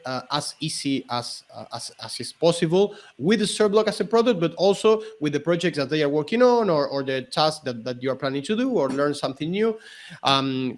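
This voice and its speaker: Halting, fairly high-voiced man. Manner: halting, restarts and repeats words often. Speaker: developer relations engineer, co-host. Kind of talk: casual livestream explanation.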